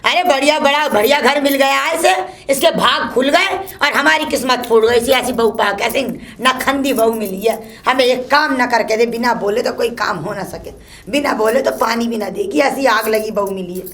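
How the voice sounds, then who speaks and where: A woman playing a shrill, cursing old mother-in-law who keeps scolding her daughter-in-law. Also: moderate pace, a bit bright. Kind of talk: comic one-woman sketch.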